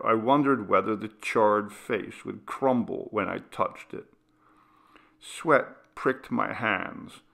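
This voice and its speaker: Slow, gravelly male story reader. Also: fairly low pitch, fairly bright tone. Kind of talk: scripted ghost story narration.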